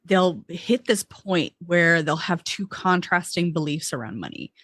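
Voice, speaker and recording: Woman, podcast host, lowish voice, thoughtful, thinks aloud, dry close sound. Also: lively intonation, measured pace, fairly bright tone, no room sound. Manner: reflective, thinks aloud with restarts. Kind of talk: conversational podcast interview.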